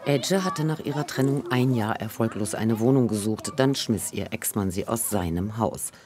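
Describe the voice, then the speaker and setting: A man reading a scripted news report as voice-over, in a calm, even manner. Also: fairly low pitch, moderate pace, a crowd in the background.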